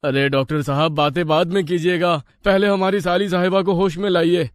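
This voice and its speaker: Man voicing an agitated, raised-pitch young husband. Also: steady tone, a little fast, clean tone, no room sound, clean studio sound, close to an everyday voice. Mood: alarmed. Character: anxious, urgent young husband and brother-in-law. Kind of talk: Hindi moral-story cartoon dub.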